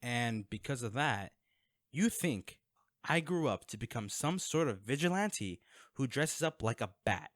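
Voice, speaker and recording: A man's voice: mid-range voice, sing-song, unhurried, no room sound, clean studio sound. A man playing a guarded, wealthy vigilante in an audio drama, speaking in a curt, sarcastic and threatening way to the listener. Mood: tense.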